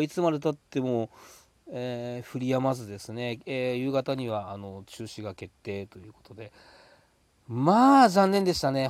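A man with a mid-pitched voice talks casually on his own as a podcast host. He is polite and often stretches out hesitations between phrases. The sound is close and dry, with very little room. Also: darkish tone, no echo. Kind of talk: casual solo chat.

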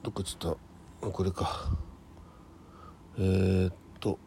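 Male host, 60s, deep mellow voice, rambling informal morning chat with muttered asides. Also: little pitch movement, vocal fry, a little hiss or hum. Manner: halting, rambling, muttering to himself and correcting himself. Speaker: host of a morning audio diary show. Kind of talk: casual solo radio chat.

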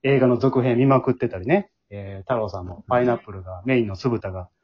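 A man's voice: medium pitch, fast talker. A man hosting a casual group radio chat in a polite, chatty way, often turning to others for their views. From Japan. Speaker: host. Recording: dull, muffled lo-fi audio.

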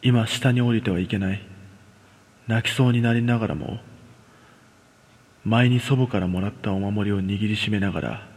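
A man's voice: on the low side, fairly even intonation, normal speed, pauses often, darkish tone, slightly rough. Man narrating horror in cavernous reverb. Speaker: ghost story narrator. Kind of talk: scripted horror storytelling.

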